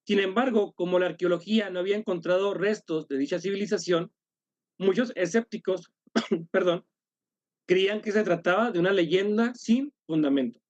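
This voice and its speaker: Guy running a church study call, highish voice, kinda muffled dry sound, stops and restarts a lot. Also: darkish tone, no echo. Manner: halting, self-correcting, apologizes after coughs. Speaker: workshop leader. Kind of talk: online workshop discussion.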